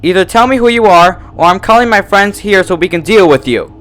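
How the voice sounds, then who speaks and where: A man's voice: high voice, animated, a little fast, fairly bright tone, voice pushed up. A man voicing a frightened but defiant young female pony, snappy and agitated, in a horror fan fiction reading. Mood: angry.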